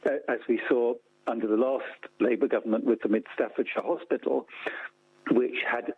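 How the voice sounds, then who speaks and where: A man being interviewed on the radio, explaining policy in a measured way with repetition for emphasis. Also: mid-range voice, steady tone, husky. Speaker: interview guest, policy campaigner. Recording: thin phone-like line.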